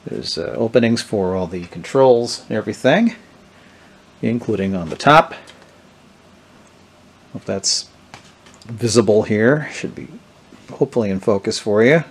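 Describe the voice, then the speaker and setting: A middle-aged man. He talks casually and without a script, showing an item piece by piece. His delivery is halting, as if working out each point aloud. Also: on the low side, slightly rough. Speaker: presenter of a vintage electronics channel. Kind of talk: casual unscripted product demonstration. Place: indoors, small tabletop product-shooting setup.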